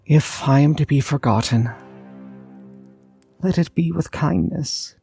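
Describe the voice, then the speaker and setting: A man voicing a forgotten, solitary poet, reciting slowly and solemnly in a tender, melancholy way. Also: fairly high pitch, gravelly, dark tone, pitched above the performer's own voice. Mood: resigned, tender. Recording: long reverb, music underneath.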